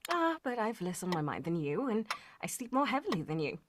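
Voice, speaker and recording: A woman's voice: mid-range voice, big pitch swings, a little fast, a crowd in the background, close to an everyday voice. A woman playing a proper, anxious young lady recounting a frightening story in a live old-time radio mystery, with theatrical delivery. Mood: dismissive. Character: Helen Stoner, proper anxious young Englishwoman telling her story.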